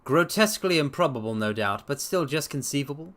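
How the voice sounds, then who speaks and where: Man reading as an earnest gentleman companion, expressive. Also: on the high side, fairly quick. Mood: inquiring. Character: earnest gentleman doctor, the detective's questioning companion. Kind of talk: dramatic storybook reading.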